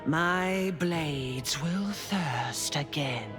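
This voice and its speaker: A woman voicing a sadistic, bloodthirsty female murderer who hisses her threats in a drawn-out whisper. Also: sits low. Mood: gloating. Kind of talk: video game voice acting. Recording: game cutscene with loud music.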